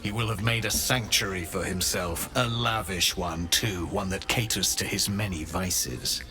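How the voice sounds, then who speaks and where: Game role: knowing, eloquent adviser, a little low in register, wry, clear music underneath. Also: male voice, measured pace. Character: grave, knowing male adviser urging on a hero. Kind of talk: video game character acting. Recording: loud music behind the voice.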